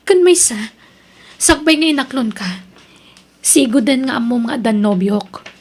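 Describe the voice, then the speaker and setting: A woman's voice: high-pitched, lively intonation, a little slow. A woman with an Ilocano accent acting in an audio drama as a young student, an evasive and defiant girlfriend.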